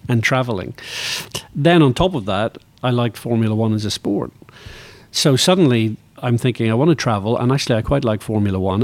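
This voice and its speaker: A man's voice: medium pitch, darkish tone, no echo. A sixty-year-old man with a Northern Irish accent, talking reflectively and enthusiastically as a guest in a conversational interview. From Northern Ireland. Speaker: interview guest, motor racing executive.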